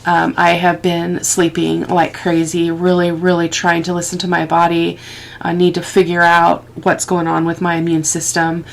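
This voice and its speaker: Sniffly, lower-pitched woman crafting on camera. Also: monotone, gravelly. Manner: unhurried, halting, thinking aloud while working. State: sniffly with a head cold. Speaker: crafting tutorial host. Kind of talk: casual, rambling craft process talk. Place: indoors at a craft table.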